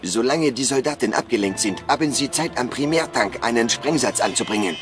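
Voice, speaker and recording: Idealist resistance chief played by a male actor for a game: solemn, rousing, mid-range, under loud music. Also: medium pitch, expressive, brisk, natural voice. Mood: instructing. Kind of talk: video game voice acting in German.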